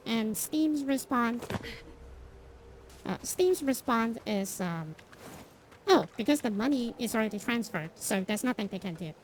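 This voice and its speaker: A woman's voice: fairly high pitch, rough recording. Woman streamer, non-native english, rambling with fillers, self-deprecating, faint noise behind. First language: not English. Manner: rambling, many fillers and repeated restarts; self-deprecating, laughs at herself. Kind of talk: casual chat with stream viewers while gaming.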